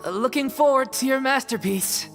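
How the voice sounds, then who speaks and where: A woman's voice: high voice, normal speed, over music. A woman voicing an easygoing, slightly oblivious young theater troupe member in a game. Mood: upbeat. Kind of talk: anime-style game voice acting.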